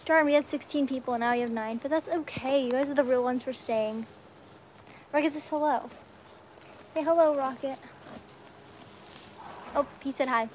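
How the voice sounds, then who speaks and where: A young American woman with a high-pitched voice chats casually to her livestream. She is excitable and full of slang. The sound comes from a phone held at arm's length in a horse stall, with faint barn noise around her. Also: moderate pace, long pauses. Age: young adult. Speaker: livestreaming vlogger. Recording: noisy barn ambience, handheld phone.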